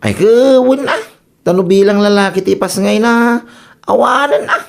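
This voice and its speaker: An actor playing an excitable, scheming husband in a radio comedy drama, exclaiming in an Ilocano accent. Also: male voice, high voice, normal speed, vocal fry. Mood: defensive, scheming. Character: excitable husband scheming to father a son for inheritance.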